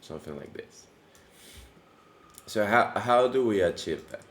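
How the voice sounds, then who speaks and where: A man hosting a casual coding tutorial, his voice deepened by allergies, talking in a relaxed, chatty way with many fillers and restarts. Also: a little slow. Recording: echoey room with faint background noise.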